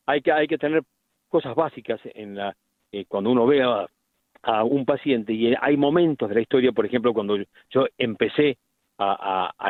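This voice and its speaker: A middle-aged man with an Argentine accent, a medical specialist explaining things deliberately in a radio interview, with frequent restarts. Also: medium pitch, no echo. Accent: Argentine Spanish. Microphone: phone line. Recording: tinny phone line, dry.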